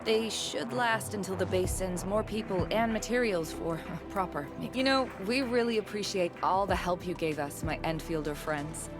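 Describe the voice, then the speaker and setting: A woman's voice: on the low side, normal speed, hardly any pauses, over music, close to an everyday voice. A woman playing a practical, matter-of-fact worker in a video game. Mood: friendly.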